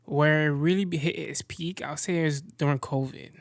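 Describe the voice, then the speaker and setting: A young man from Central Florida talking as a guest in a casual chat, relaxed and slangy, laughing easily. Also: on the high side, moderate pace, no room sound. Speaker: guest content creator.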